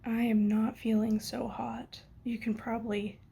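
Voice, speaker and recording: Young female vlogger with a cold, nasal and husky, slow, soft chatty delivery at home. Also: fairly high pitch, little pitch movement. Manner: soft-spoken, chatty, often trailing into whispers. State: sick, sniffly and nasal. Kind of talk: casual chat to camera. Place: home studio.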